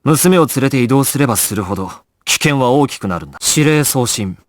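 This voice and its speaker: An actor playing a calm, commanding young gangster squad leader in an anime video game, grave and decisive, giving clipped orders. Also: male voice, mid-range voice, fairly quick, dry recording, clean studio sound, lightly acted. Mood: grave, deliberate, then measured, reading orders.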